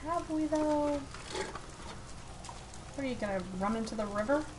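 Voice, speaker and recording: A woman with a fairly high voice talks casually while playing a video game. Combat effects and game ambience sound clearly behind her. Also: moderate pace, noisy background. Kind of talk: casual gaming chat.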